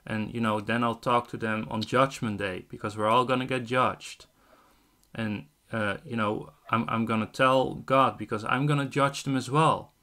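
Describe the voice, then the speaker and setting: A man's voice: mid-range voice, fairly even intonation, moderate pace, sharp tone. A twenty-year-old man from the Netherlands preaching in an online chat, insistent and given to quoting scripture. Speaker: street-style online preacher. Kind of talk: evangelistic debate in casual chat.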